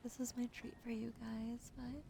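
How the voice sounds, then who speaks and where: A woman streaming ASMR, whispering softly to her chat and slowly spelling out follower names, now and then swearing. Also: fairly high pitch, little pitch movement, vocal fry, slight background noise. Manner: slow and gentle, swears and laughs in between. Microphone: studio mic.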